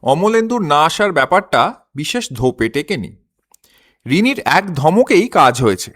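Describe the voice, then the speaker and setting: A man narrating a scripted story in an expressive, literary manner. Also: on the high side, a little slow.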